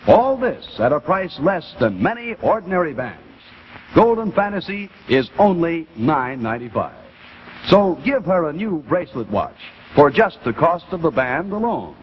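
A middle-aged American man giving a polished, deliberate scripted sales pitch as a 1950s television announcer. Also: big pitch swings. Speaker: commercial announcer. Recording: muffled 1950s television broadcast with faint noise.

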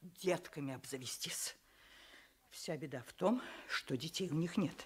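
A woman's voice: low voice, a little slow, slight background noise. A woman playing an opinionated, sympathetic peasant villager in a Russian radio drama, speaking emphatically and in a folksy way.

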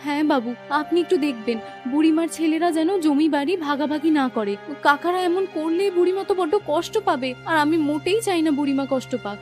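A woman's voice: high-pitched, fast, darkish tone, faint music behind, close to an everyday voice. A woman voicing a kind, earnest young village girl in a cartoon. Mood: pleading. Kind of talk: Bengali cartoon voice acting.

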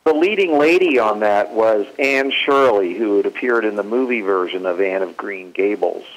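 A man's voice: fairly low pitch, normal speed, rough recording. A man giving informal explanatory commentary as a presenter. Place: hotel meeting room.

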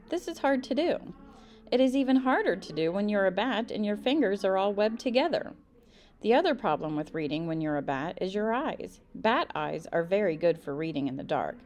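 A woman reads a children's book aloud in an expressive, emphatic storytelling style. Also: medium pitch. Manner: animated, emphatic delivery.

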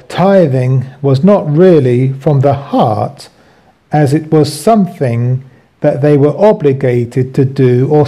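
A man reading a scripted religious lesson aloud as a teacher, in measured phrases. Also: fairly high pitch, mellow. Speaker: Bible teacher.

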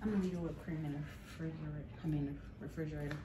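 A young woman, casually chatting as she cooks and narrating a voiceover in a relaxed, rambling way that often laughs at herself. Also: sits low, rapid-fire, background noise. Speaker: vlogger. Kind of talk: casual cook-along chat and voiceover. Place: home kitchen, indoors. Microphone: camera on the counter. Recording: voiceover with clear background music.

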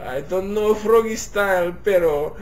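A man in his thirties, a native English speaker, gives an interview in halting Spanish and English, with many fillers and restarts, gesturing as he talks. He is recorded on a webcam in his home kitchen. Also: high-pitched. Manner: gestures animatedly while talking. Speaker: interviewee, musicology professor. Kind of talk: casual on-camera interview. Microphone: webcam at desk distance.

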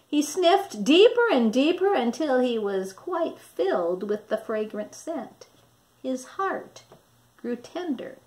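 Lady reading a kids story, slow and really animated, dramatic emphasis. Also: sits high, low-quality recording. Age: middle-aged. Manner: dramatic, deliberate word emphasis. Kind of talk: expressive children's storybook narration. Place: small indoor room with wall displays.

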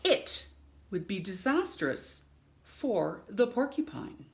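A middle-aged woman hosting storytime, reading to children in an expressive, drawn-out way with playful emphasis. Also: medium pitch, vocal fry. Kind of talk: reading a picture book aloud to children. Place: indoors in a home room.

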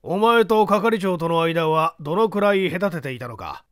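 A man as a stern, high-pitched examining judge. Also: lively intonation, moderate pace, dry recording, studio quality. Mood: stern, commanding. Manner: blunt, authoritative interrogation. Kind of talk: dramatised mystery story reading.

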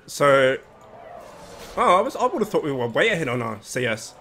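A young man hosting a casual gaming commentary from his desk, nasal from a cold. He talks in a relaxed, chatty way with plenty of fillers. His tone is bright, with faint noise in the background. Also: mid-range voice, moderate pace. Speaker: gaming video host.

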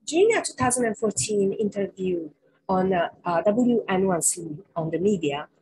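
A middle-aged woman delivers an academic keynote from an indoor room over a webcam. She speaks in a measured, thoughtful way, pausing often and filling gaps with small hesitations. Her voice sits at an ordinary middle pitch. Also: lively intonation, darkish tone, poor audio. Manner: measured, frequent fillers and pauses. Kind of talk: academic lecture over slides. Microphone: webcam on a video call.

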